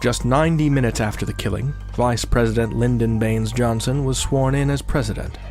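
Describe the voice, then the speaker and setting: A man hosting an audio drama, narrating a scripted introduction with theatrical, deliberate phrasing and wry asides. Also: mid-range voice, a little mellow. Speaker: podcast host, narrator. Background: music.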